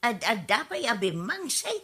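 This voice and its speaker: An actress plays an indignant young Ilocano woman in a radio comedy. Her voice is high and sharply bright. She grumbles and exclaims in a whiny, family-squabble way. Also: sing-song, a little slow, breathy edge, heavily acted. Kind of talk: radio comedy drama acting.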